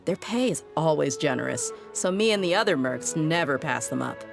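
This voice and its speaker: A woman voicing a tough, easygoing female desert mercenary in a video game, relaxed and teasing. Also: low-pitched, normal speed, vocal fry. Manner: relaxed, teasing, laughs things off. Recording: game audio with faint music.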